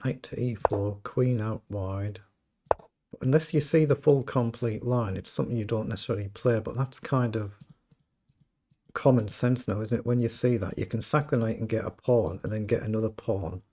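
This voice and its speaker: A British man with a slightly croaky voice, casually commentating his own chess game and thinking aloud. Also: lowish voice, normal speed, warm tone. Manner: thinking aloud, self-questioning. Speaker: chess streamer.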